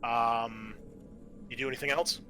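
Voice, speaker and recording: A man narrating and refereeing a tabletop role-playing game as game master, explaining rules in a halting way. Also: mid-range voice, steady tone, pushed and bright, soft background music.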